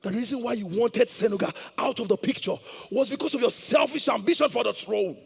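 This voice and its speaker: A man's voice: extremely high pitch, a little fast, creaky, slightly rough, exaggerated voice. A man with a Nigerian accent acting a domineering, hot-tempered royal plotter on stage, imperious and full of threats. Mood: bitter. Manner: imperious, barking threats and proverbs.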